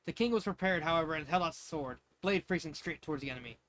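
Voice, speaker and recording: A man reading fan fiction aloud as an amateur narrator, expressive and sometimes stumbling over his words. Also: on the high side, fast, no room sound. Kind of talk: amateur storybook narration with asides.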